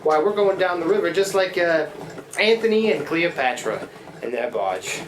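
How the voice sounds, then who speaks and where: A man's voice: a little high, animated, moderate pace, noisy background, close to an everyday voice. A man acts out a scruffy riverboat skipper in a live radio drama. He talks in a rustic, folksy way, stumbling over words with a plucky, adoring heart. The room echoes around him. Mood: adoring, jaunty. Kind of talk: live radio play acting. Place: live theatre performance in a reverberant room.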